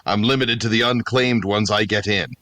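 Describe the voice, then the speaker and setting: Low, curt, stern morgue keeper played by a man. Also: fairly even intonation, normal speed, pushed and bright, no room sound. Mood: cold, dismissive. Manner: curt, formal, scornful. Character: irritable, guarded male morgue keeper, stern and scornful. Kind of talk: audio drama acting.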